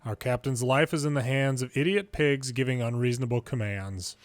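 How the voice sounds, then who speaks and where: A man's voice: mid-range voice, normal speed, dry recording. Man reading game dialogue over music. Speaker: video game commentator reading subtitles aloud. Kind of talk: dramatic read-aloud of game dialogue.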